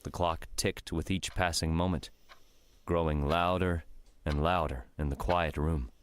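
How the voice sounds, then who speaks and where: Casting: male narrator, low-pitched, measured storyteller for a fiction audiobook. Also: fairly even intonation, clean tone.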